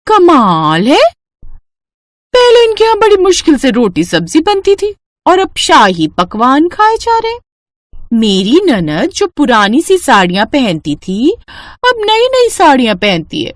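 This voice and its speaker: A sly, greedy young aunt from a cartoon story, scheming and suspicious, with a high voice that leaps around a lot, as a woman puts her on. Her talk is dry and close, with no room around it. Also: huge pitch swings, a little slow, no room sound, exaggerated voice. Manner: suspicious, sly, feigns innocence. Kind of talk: cartoon voice acting.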